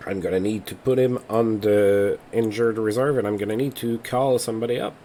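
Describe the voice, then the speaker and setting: A man's voice: fairly low pitch, fairly even intonation, brisk, mellow, slight background noise. A man, not a native English speaker, hosting a let's play and talking casually through game results as he thinks aloud. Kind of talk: casual gameplay commentary.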